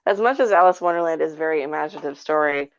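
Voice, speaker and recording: A woman's voice: sits low, rapid-fire, creaky, slight background noise. A woman chatting casually in a podcast discussion, speaking in a tentative, hedging way. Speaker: podcast panelist. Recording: slightly dull, lo-fi audio.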